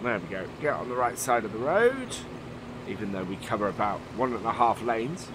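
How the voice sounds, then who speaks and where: A man's voice: on the low side, big pitch swings, normal speed. A man with a slightly nasal voice from a cold coming on, casually commentating a farming game and thinking aloud with many fillers. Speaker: let's play host. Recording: voice-over with clear game vehicle noise.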